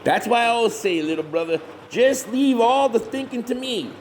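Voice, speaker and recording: A shameless Southern hillbilly monster hunter, pitched high and swinging all over in pitch. He is glib, folksy and self-righteous, bossing his kin around in a comedy horror audio play, with faint noise behind him. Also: lively intonation, moderate pace. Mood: mock indignant. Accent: rural Southern US, hillbilly dialect. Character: bossy, shameless hillbilly monster hunter, scheming older brother.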